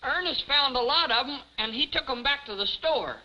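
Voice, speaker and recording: An eager, innocent youngster. The voice is high and piercingly bright. It is 1950s television comedy with faint background hiss. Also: female voice, animated, moderate pace, lo-fi sound. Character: eager, helpful, guileless youngster.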